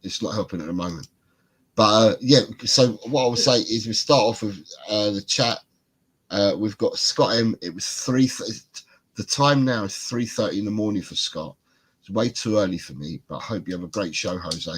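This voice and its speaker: Male British host, very low husky voice, stuffed-up and congested, rambling casual chat. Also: moderate pace, a bit bright, rough recording. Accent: British English. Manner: rambling, repeats himself. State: congested, bunged up. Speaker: podcast host.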